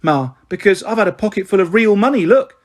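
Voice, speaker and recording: A man's voice: sits high, fairly quick, voice pushed up. A man reading a storybook aloud and voicing a cheeky, boastful young boy. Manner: boastful, excitable.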